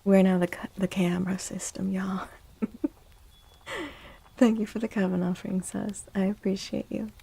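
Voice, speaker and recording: Soft spoken lady doing asmr stream chat, breathy n relaxed, bit of vocal fry. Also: medium pitch, moderate pace, pauses often. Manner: soft-spoken, greeting and thanking viewers casually. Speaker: livestream host.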